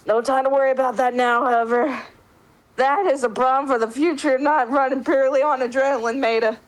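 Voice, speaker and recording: High-pitched woman narrating a story informally. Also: steady tone, fairly quick, poor audio. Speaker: player narrating in a dramatic roleplay reading. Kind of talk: story narration with casual asides.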